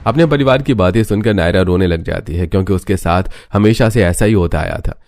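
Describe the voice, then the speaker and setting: Low-voiced man narrating a story. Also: brisk, darkish tone, no echo, studio quality.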